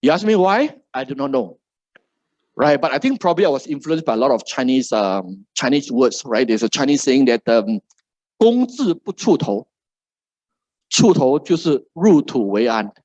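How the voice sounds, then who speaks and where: A man's voice: mid-range voice, big pitch swings, normal speed, darkish tone, no echo, lo-fi sound. A middle-aged man from Malaysia with a Malaysian English accent gives a seminar talk to a live audience, ending nearly every phrase with "right?". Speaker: motivational speaker.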